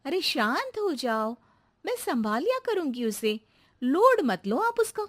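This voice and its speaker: A woman plays a patient cartoon housewife whose voice rises and falls with lively melody. She talks slowly, soothing and teasing in turn, with a chuckle close at hand. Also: high voice, voice pushed up, heavily acted. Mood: amused. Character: calm, amused housewife, patient but teasing. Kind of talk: Hindi cartoon voice acting.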